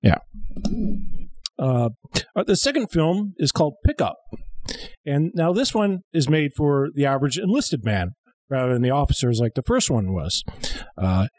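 A man's voice: mid-range voice, sing-song, normal speed, long pauses, darkish tone, no room sound, low-quality recording. A man in his thirties co-hosting a humorous film commentary show with a dry, sarcastic manner. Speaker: comedy show co-host. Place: small studio. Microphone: close studio mic on boom arm.

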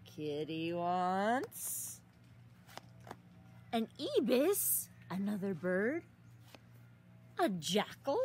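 A woman in her thirties reading a picture book aloud to young children outdoors, expressively, with exaggerated gasps and drawn-out words. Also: mid-range voice, very animated, darkish tone. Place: outdoors in a leafy garden. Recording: outdoor recording with some ambient noise.

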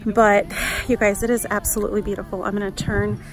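A woman's voice: medium pitch, flat delivery, a little fast. A woman in her thirties narrating a casual vlog into a handheld phone, gushing as she addresses her viewers. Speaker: vlogger. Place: outdoors at a campground and inside cabins. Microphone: handheld phone at arm's length.

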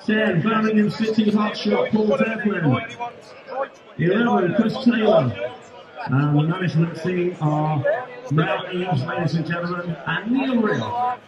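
A man's voice: high voice, animated, measured pace, muffled recording. A man acting as match-day host, who interviews guests and announces teams in a showy, upbeat way. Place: outdoors at a football stadium. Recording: echoey public address, distant, crowd behind.

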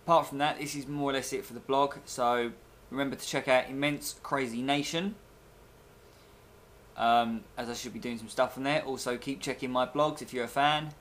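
A man's voice: mid-range voice, fairly even intonation, moderate pace, a bit bright, a little hiss or hum. A young man chatting casually and rambling in a video blog, recorded on a webcam microphone in a bedroom. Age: young adult. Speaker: video blogger. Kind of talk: casual rambling vlog chat. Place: small bedroom, indoors. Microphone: webcam at desk, arm's length.

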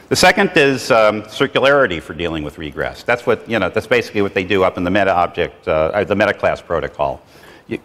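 A middle-aged man with a fairly low voice gives a loose, rambling conference lecture, leaning on "you know" as he goes. He is recorded in a lecture hall, and his voice trails off into a long reverb. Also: fairly bright tone. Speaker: conference speaker. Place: lecture hall with projected slides.

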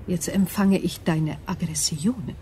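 Woman playing a mellow, cool ancient alien being. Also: on the low side, slight background noise, lightly acted. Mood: wary, analytical. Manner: measured, detached, computer-like formal diction. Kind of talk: German radio drama acting.

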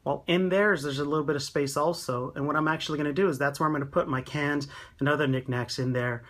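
A man giving a relaxed, chatty walkthrough of his tiny house build, talking into a handheld phone camera in a small wooden room. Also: a little high, fast talker. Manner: relaxed, chatty, pointing things out. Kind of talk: casual walkthrough vlog.

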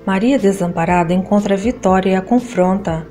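A woman's voice: mid-range voice, brisk, dark tone. Brazilian woman narrating over faint music. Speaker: narrator of soap opera recaps. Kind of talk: scripted narration.

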